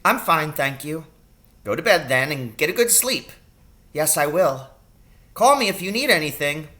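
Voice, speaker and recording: A man's voice: on the high side, moderate pace, pitched above the performer's own voice. A man reading an elderly country doctor who tells a story with relish. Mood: kind. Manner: relishing, lively telling. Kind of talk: audiobook character reading.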